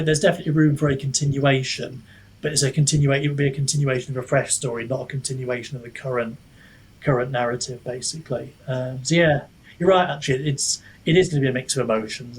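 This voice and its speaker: Man, husky voice, medium pitch, reflective podcast chat, hesitant, self-deprecating, wandering thoughts. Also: fairly even intonation, quick pace, poor audio. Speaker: author being interviewed.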